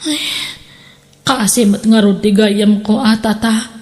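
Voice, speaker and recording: A woman's voice: medium pitch, fairly even intonation, slow, a little mellow, long reverb tail, close to an everyday voice. Woman acting an earnest, caring young friend. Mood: earnest concern. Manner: earnest, consoling. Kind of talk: Ilocano audio drama acting.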